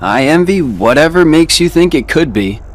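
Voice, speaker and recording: An actor playing a calm, wry young male companion in video game cutscenes, speaking in a measured, dry, serious way. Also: on the high side, natural voice. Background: faint game music.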